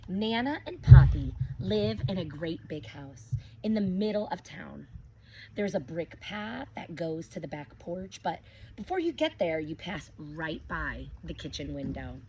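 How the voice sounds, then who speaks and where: A woman's voice: lowish voice, expressive, unhurried. Woman teacher reading a picture book aloud. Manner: gentle, asks children questions between pages. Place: outdoors with her dogs. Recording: dull lo-fi recording with clear background noise.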